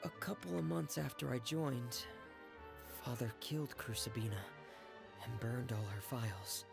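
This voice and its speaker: An actor playing a polite, earnest, soft-hearted young man in a video game. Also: mid-range voice, normal speed, creaky, over music, natural voice. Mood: reflective. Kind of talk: video game dialogue acting.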